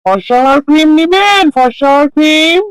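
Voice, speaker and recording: A performer playing a pushy, smooth-talking male cream hawker in a cartoon, with persuasive, sing-song vendor patter. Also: medium pitch, normal speed, close to an everyday voice. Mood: loud hawking. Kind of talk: cartoon dialogue.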